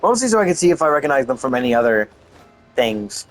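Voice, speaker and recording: A man doing casual live-stream game commentary, soft-spoken yet projecting, rambling and thinking aloud. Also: mid-range voice, sing-song, fast, faint music behind.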